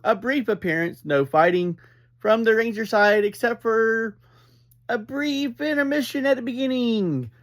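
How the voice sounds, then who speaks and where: A man hosting a casual, rambling review, upbeat and emphatic, who speaks haltingly and gets easily sidetracked. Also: extremely high pitch. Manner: upbeat, energetic. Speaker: podcast reviewer and host.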